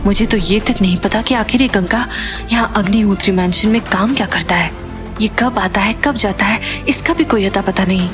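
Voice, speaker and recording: An actress playing an earnest, anxious young woman in an audio drama, with emotional, expressive delivery. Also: mid-range voice, normal speed, over music, close to an everyday voice. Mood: worried, suspicious. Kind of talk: Hindi audio drama acting.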